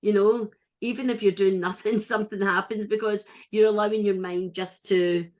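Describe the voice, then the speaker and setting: A woman's voice: medium pitch, steady tone, normal speed, a little mellow. A Scottish woman hosting a podcast interview, talking in an earnest, chatty way with frequent restarts. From Scotland. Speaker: podcast host. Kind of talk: casual interview chat.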